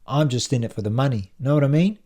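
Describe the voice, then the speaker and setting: A man voicing a cocky, matey male office colleague in an audiobook, pushing his pitch with brash slang. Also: fast, mellow, natural voice. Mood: brash, confiding.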